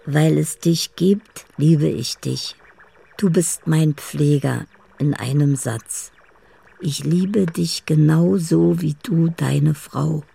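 An actress playing a dreamy, lovelorn woman in a radio play, speaking poetic love letters in a deliberate, solemn way. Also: sits low, steady tone, creaky, warm tone, slightly rough, full character voice. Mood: tender, solemn. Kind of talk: radio play inner monologue.

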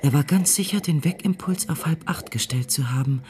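A man's voice: on the high side, fairly quick, darkish tone. A man narrating a scripted radio drama as its storyteller.

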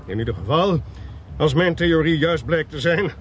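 A man acting a sharp, assertive detective in a radio play, clipped and commanding as he reasons. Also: fairly high pitch, expressive, normal speed, noisy background, natural voice. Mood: confident, explanatory. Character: sharp, assertive male detective reasoning through a murder case. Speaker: actor in a radio drama.

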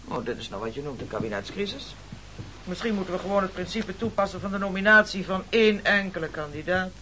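An actor playing a pompous, scheming castaway leader in a radio play, with oratorical delivery. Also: male voice, high-pitched, animated, fast talker, pushed and bright, slight background noise, rough recording. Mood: grave, pompous. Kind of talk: theatrical radio play acting.

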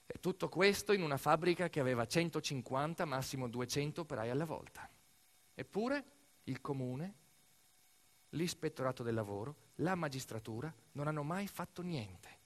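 A man performing a dramatic documentary theatre monologue, telling it emphatically with deliberate repetitions and pauses. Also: a little high, expressive. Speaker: stage narrator, monologue actor. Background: music behind the voice.

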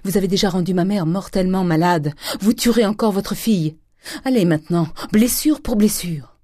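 A woman reader plays a young storybook daughter in desperate defiance. Her pleas are fervent and insistent, lifted high and loud, in a very dry recording. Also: normal speed, no room sound, lightly acted. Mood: defiant. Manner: fervent, solemn, insistent pleading.

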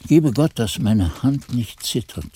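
An aged monk telling his chronicle in a radio drama. The voice is soft-edged and mellow, at a middling male pitch. He speaks formally and reflectively, with solemn, measured phrasing. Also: very animated, slight background noise. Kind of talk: literary radio play narration.

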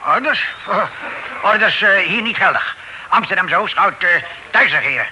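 Curt, sharp-voiced stubborn bailiff. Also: male voice, high-pitched, animated, a little slow, heavily acted. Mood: stubborn, indignant. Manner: clipped telegram-style phrases, hesitant grunts. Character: stubborn, curt male island bailiff guarding local privileges. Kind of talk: radio play acting. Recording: noisy old radio drama recording.

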